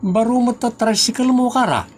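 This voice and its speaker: A man's voice: very high-pitched, moderate pace, music in the background. A man playing an outspoken, teasing friend, blunt and bantering, in a comedy audio drama.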